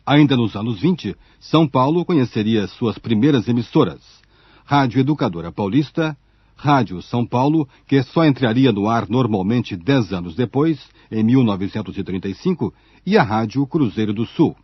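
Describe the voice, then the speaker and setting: A Brazilian man narrates a radio documentary from a script. His voice is mid-pitched and a little rough, heard very dry through a narrow, tinny band like an old broadcast. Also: lively intonation, moderate pace, dry recording. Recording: muffled phone-like shortwave radio archive audio.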